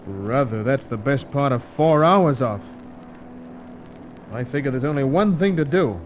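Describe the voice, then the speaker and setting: An actor playing a gruff, cagey American pilot with blunt, slangy talk in an old-time radio serial. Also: male voice, mid-range voice, animated, measured pace, lightly acted. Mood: gruff, decisive. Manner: slangy, blunt, grudging tough-guy talk. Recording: dull, faintly noisy old radio recording.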